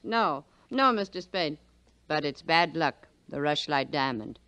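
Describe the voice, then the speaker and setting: An actress playing an imperious, catty old rich widow in a 1940s radio drama, haughty and dryly sardonic. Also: female voice, low voice, lively intonation, unhurried, bright, forceful tone, no room sound, exaggerated voice. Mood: brisk, confiding. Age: older.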